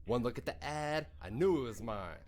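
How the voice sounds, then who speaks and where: An actor playing a cocky, boastful young street thug in a video game, brash and full of slangy swagger. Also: male voice, medium pitch, animated, moderate pace, a little mellow, lightly acted. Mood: cocky, upbeat. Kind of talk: video game dialogue acting.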